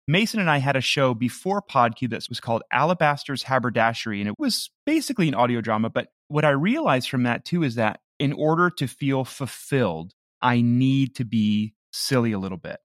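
Man, interview guest, casual self-deprecating chat, average male pitch, dry close sound. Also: fairly bright tone, no echo. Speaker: podcast creator, interview guest.

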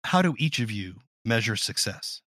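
A man interviewing in a relaxed, conversational style. His voice is fairly low and the recording is very dry. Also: normal speed, no room sound.

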